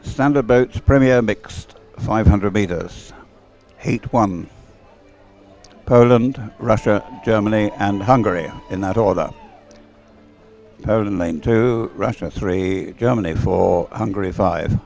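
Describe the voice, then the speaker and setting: A man's voice: fairly low pitch, takes it slowly, darkish tone, faint music behind. A man giving live race commentary in a conversational, hesitant way, thinking aloud as he goes. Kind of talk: live sports commentary, unscripted. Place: commentary room overlooking the course.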